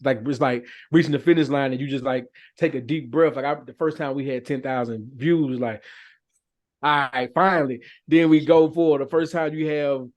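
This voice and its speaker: American man, podcast guest. Medium pitch, frank and colloquial, loose conversational delivery that circles back on phrases. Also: steady tone, moderate pace. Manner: candid, colloquial, repetitive phrasing. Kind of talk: casual chat.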